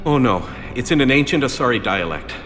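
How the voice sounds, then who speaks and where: A man playing an eager, bumbling, apologetic fan of a space commander in a video game, excitable and easily flustered. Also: medium pitch, a little fast, soft background music, natural voice. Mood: flustered, helpful.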